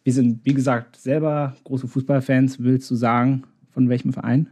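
A Berlin-born man hosts a casual football podcast, talking in a loose, chatty way, restarting sentences and filling pauses as he thinks aloud. His voice is dark and mellow, and the recording sits in a noticeably echoey room. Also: medium pitch, little pitch movement. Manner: chatty, hesitant, many restarts and fillers. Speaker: podcast host.

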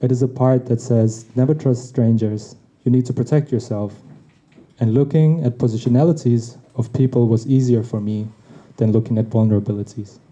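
A man whose first language is Tagalog reads a personal essay aloud, thoughtful and unhurried. His voice is deeply mellow and soft-edged. The recording sounds dull and a little lo-fi. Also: steady tone. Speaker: podcast host.

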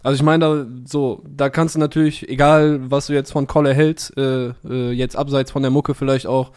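A man's voice: medium pitch, little pitch movement, moderate pace, a little mellow, clean tone. A man co-hosting a casual music podcast, joking and rambling with many fillers. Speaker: podcast co-host. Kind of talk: casual music review chat.

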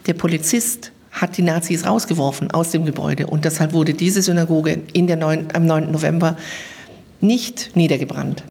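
A woman's voice: sits low, steady tone, normal speed, gravelly, dark tone, husky. A woman speaking as an interview guest, explaining her views in German. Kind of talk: spoken interview answers, explanatory.

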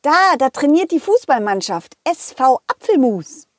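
Woman as bright-eyed, high-voiced cheerful storytelling dog. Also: very animated, measured pace, no room sound, studio quality. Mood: playful, excited. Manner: playful, chatty, giggly, addressing children directly. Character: cheerful, excitable, loyal dog telling children stories. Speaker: puppet character host. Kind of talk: children's audio story narration.

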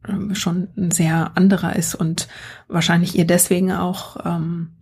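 A woman's voice: on the low side, little pitch movement, unhurried, darkish tone, husky. A woman co-hosting a casual history podcast, talking thoughtfully and reflectively with frequent hesitations. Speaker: podcast co-host. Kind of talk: casual discussion.

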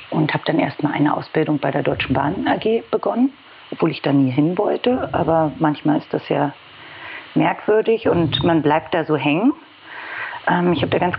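A woman in her forties from Berlin talks as an interview guest in a very low, fry-laden voice. She is chatty and self-deprecating. The sound is dull, with a little background noise. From Berlin, Germany. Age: 40s. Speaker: podcast guest, communications professional. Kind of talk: conversational autobiographical storytelling in an interview. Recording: dull lo-fi audio with faint noise.

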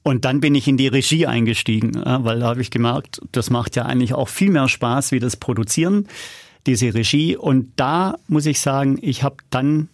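A man's voice: medium pitch, normal speed, no echo, clean studio sound. A middle-aged man talking casually as a podcast guest, telling anecdotes in a candid, self-critical and colloquial way. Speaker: podcast guest, audio drama producer. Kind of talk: casual interview, anecdotal storytelling.

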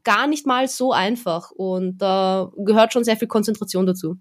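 A woman's voice: mid-range voice, normal speed. A young woman, an event presenter, chatting easily as a podcast guest. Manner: relaxed, chatty, with fillers. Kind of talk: casual interview talk.

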